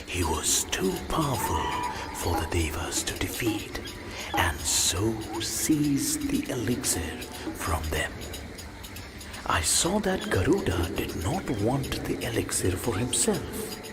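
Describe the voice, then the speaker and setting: A man playing an ancient, serene god who narrates myths in a video game with a breathy, solemn, measured delivery. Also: fairly low pitch, big pitch swings. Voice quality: breathy, whispery. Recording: loud music under the voice.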